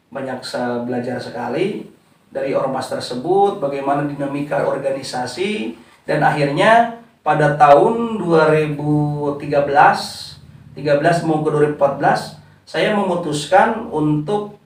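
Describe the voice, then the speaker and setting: A man's voice: fairly high pitch, recorded from a distance. Man speaking off-mic in an echoey room. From West Java, Indonesia. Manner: unhurried, with frequent "eh" fillers, addressing the interviewer as "Pak". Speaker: founder of a community organization. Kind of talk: relaxed interview answers.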